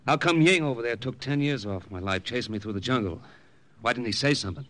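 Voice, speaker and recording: A man's voice: medium pitch, a little fast, fairly bright tone, natural voice. An actor playing a candid, wry American army captain, a youngish man with a casual manner, in an old radio drama. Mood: curious, wry. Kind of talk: 1940s-50s radio drama acting and narration. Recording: noisy old radio recording.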